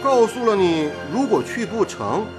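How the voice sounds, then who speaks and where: An actor playing a jovial, boyish young radio station worker in a radio drama, playfully teasing and fond of exaggeration. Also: male voice, sits high, big pitch swings, moderate pace, over music, rough recording. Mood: cheerful, earnest.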